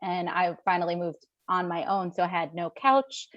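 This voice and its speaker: A woman's voice: on the low side, flat delivery, normal speed, fairly bright tone, dry recording. Guest, woman, early 30s, upbeat; muffled dry remote recording. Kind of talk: casual chat. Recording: dull lo-fi remote audio.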